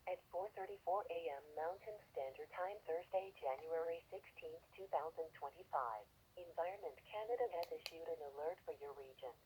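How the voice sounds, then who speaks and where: Robotic text to speech lady reading weather alerts, lowish voice, bit of radio hiss. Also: a little mellow, low-quality recording. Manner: formal, measured list reading. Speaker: automated weather alert announcer. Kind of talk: scripted emergency weather alert readout. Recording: hissy weather radio broadcast.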